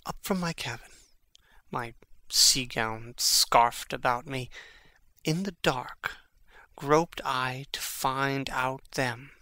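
An actor playing an intense, brooding young prince in a classical Shakespearean dramatic reading, with theatrical, emphatic phrasing. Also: male voice, mid-range voice, slow, pushed and bright, no echo. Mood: urgent, conspiratorial.

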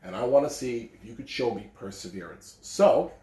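A man's voice: medium pitch, animated, moderate pace, warm tone. A man in his thirties, reading and talking expressively to children. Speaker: school read-aloud host. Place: living room, indoors. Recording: roomy living-room echo.

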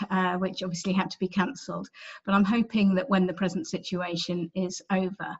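A woman's voice: on the low side, slightly rough. A middle-aged woman with an English accent giving a virtual book presentation from home, talking conversationally with frequent ums and self-corrections. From England. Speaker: author giving a library talk. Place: home room, indoors. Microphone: webcam on a video call. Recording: slightly dull webcam audio.

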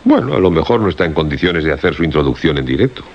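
An actor with a husky voice and a Castilian Spanish accent playing a cautious, concerned radio crew colleague who reasons calmly in a radio drama. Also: male voice, extremely low pitch, fast talker, slight background noise, full character voice. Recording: muffled radio recording.

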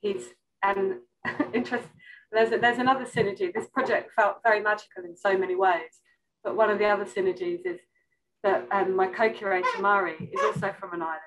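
A woman's voice: mid-range voice, steady tone, brisk, a bit bright, poor audio. A woman speaking informally as a curator on a panel over a video call, hesitantly searching for her words. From an island. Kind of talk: informal panel talk about an exhibition. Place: indoor room on a video call.

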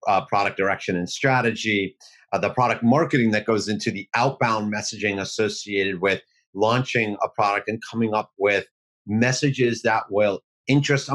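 Deep-voiced man giving a measured talk. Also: fairly even intonation, a bit bright. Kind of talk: online presentation. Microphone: video call.

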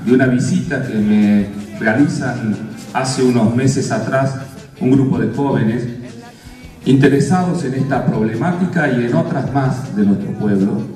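An Argentine man in his fifties gives an opening speech with a mellow, mid-pitched voice. It booms with heavy echo from far off in a hall, with music behind. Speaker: mayor giving opening speech. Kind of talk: public opening address. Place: stage of a community hall. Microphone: handheld microphone, heard from afar. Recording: very echoey hall PA, distant, music.

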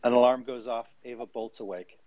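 A man speaking into a close, dry mic on an audio call, his pitch sitting in an ordinary middle range. He jokes around with halting fillers, then reads scene directions from a script at a steady pace. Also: no echo, lo-fi sound. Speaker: showrunner and host reading a script. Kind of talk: casual chat, then reading scene directions.

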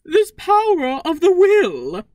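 A woman voicing a flamboyant, smug robot queen in a video game fan dub, with deliberate, theatrical delivery. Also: very high voice, wildly swooping pitch, a little mellow, slight background noise, exaggerated voice. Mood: grand musing. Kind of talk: fan-dubbed video game villain monologue. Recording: background music under the voice.

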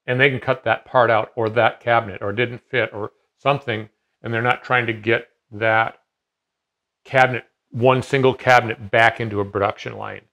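A man's voice: medium pitch, fairly even intonation, normal speed, a bit bright, highs cut off. A man in his fifties explaining a CNC machine in casual conversation, talking in a loose, rambling way with many fillers and restarts, seated in a large garage workshop.

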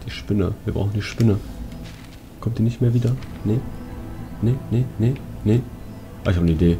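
A man with a fairly low voice comments on a video game as he plays. He talks colloquially, partly to himself and partly to his viewers. Game music plays clearly behind him. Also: normal speed. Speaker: let's player. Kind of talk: casual gameplay commentary.

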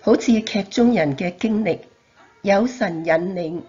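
A woman's voice: medium pitch, unhurried, mellow, husky. A woman reading a scripted evangelistic narration. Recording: dull lo-fi recording.

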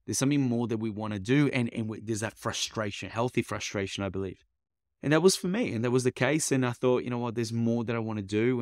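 A man's voice: on the low side, clear, resonant tone, no room sound, studio quality. A young man who grew up in Italy, a coach talking casually as a podcast guest, with many fillers and restarts. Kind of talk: casual interview chat.

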